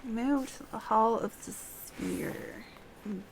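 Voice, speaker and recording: A woman's voice: fairly high pitch, slow pace. A woman giving casual live gameplay commentary in short, offhand remarks, talking to herself and the game. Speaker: game streamer. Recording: clearly noisy stream with background ambience.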